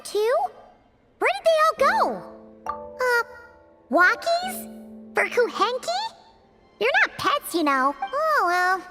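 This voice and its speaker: A woman voicing a small, excitable, chatty childlike fairy companion in a video game, in an expressive, exclamatory way. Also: very high-pitched, heavily acted.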